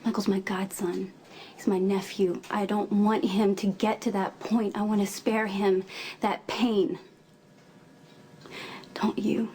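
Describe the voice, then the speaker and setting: A woman's voice: mid-range voice, flat delivery, takes it slowly, lightly acted. Woman playing an earnest aunt, noisy background. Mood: earnest, pleading. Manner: earnest, gently persuasive. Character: caring, candid aunt urging a child toward help. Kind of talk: naturalistic television soap drama acting. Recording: clearly noisy background ambience.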